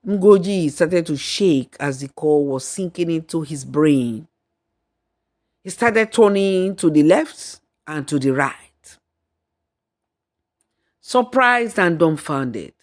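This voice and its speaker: A woman narrating a folktale in a deliberate, dramatic storyteller's manner. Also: fairly low pitch, lively intonation. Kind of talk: scripted folktale narration with acted dialogue and song.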